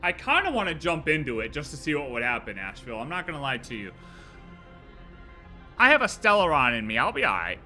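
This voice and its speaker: An excitable streamer giving casual live reactions to a game, slangy and full of exclamations. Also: medium pitch, fast, soft background music.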